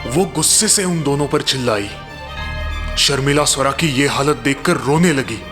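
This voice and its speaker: A man's voice: medium pitch, moderate pace, over music. A man narrating scripted moral and horror stories in a dramatic storytelling style.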